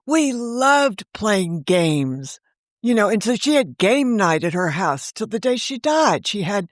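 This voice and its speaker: A woman being interviewed on a podcast, telling a personal story in a warm, fond and gently reflective way. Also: medium pitch, sing-song, slow, dry recording, clean studio sound. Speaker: guest, novelist and podcast creator. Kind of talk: conversational storytelling.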